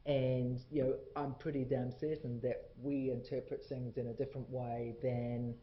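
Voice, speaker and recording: A man's voice: medium pitch, little pitch movement. Kiwi guy moderating a panel, probing questions, roomy and muffled. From New Zealand. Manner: probing, brisk questions, quick agreement. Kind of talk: panel discussion. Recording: echoey muffled room recording.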